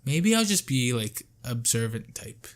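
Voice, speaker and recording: A man's voice: mid-range voice, sing-song, a little slow. A young man talking casually and informally with a friend. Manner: informal, slangy. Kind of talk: casual chat between friends.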